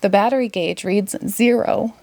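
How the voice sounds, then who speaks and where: Storybook alien played by a woman: little, cute, timid and earnest, Boston accent, mid-pitched, dry sound. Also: normal speed, darkish tone, dry recording, natural voice. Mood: timid, worried.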